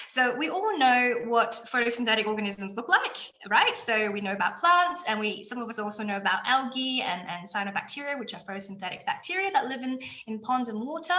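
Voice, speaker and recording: A woman's voice: on the high side, sharp tone, reverberant. A woman, giving an enthusiastic chemistry webinar talk with many fillers and restarts. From China, raised in Australia. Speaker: chemistry lecturer.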